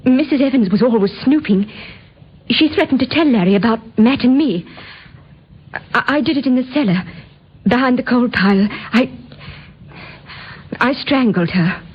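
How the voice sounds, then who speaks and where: An actress playing a nervous, desperate young woman in an old radio drama, with a husky voice and stammering, earnest delivery. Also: a little high, animated, moderate pace, long pauses. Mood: agitated confession. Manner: stammering, breathless hesitations, earnest. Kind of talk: old time radio acting. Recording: muffled vintage radio recording.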